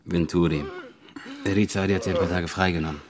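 An actor playing a compassionate, deferential police inspector, speaking in a subdued way in a radio crime drama. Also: male voice, sits low, steady tone, fast, a crowd in the background, lightly acted. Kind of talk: naturalistic German radio drama acting.

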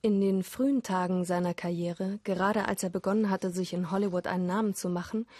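A woman's voice: medium pitch, no echo. A woman reading scripted storytelling narration for an audio play. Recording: loud music under the voice. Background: loud music.

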